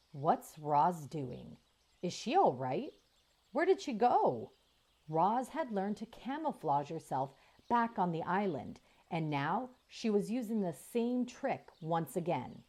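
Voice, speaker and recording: A woman reading a children's chapter book aloud as the channel's narrator, in slow, expressive storytelling. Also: lowish voice.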